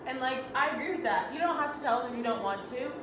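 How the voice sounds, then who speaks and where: A woman's voice: sits high, fast. A young woman from Los Angeles hosting a casual group chat with friends, reading out tips and laughing along. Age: young adult. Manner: chatty, laughing, reads tips aloud. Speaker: host. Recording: echoey room, background noise, far from mic.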